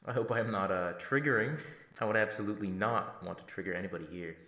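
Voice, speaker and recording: Man as awkward male cartoon fanboy, low-pitched, gravelly, halting and over-polite. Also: pushed and bright, echoey room. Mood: nervously prying. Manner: hesitant, overly polite. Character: awkward, wordy, fawning male cosplayer fan.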